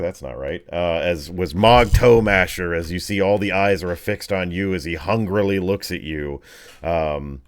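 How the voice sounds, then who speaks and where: A man's voice: low-pitched, clean tone. A man running a tabletop roleplaying game as game master, narrating casually with slangy descriptions and vocal sound effects.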